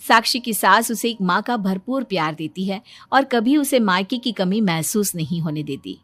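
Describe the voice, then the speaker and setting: A woman's voice: medium pitch, lively intonation, normal speed, no echo. A woman narrator reading a scripted moral story. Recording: music behind the voice.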